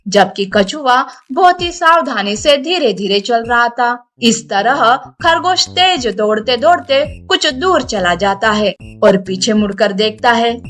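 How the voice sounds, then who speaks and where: A woman's voice: high voice, a bit bright. A woman narrating a scripted moral story for children.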